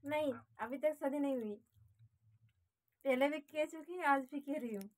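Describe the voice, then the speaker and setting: A woman's voice: sits high, measured pace. A young adult woman with an Indian accent, talking casually on a phone call from a small room at home. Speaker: prank caller.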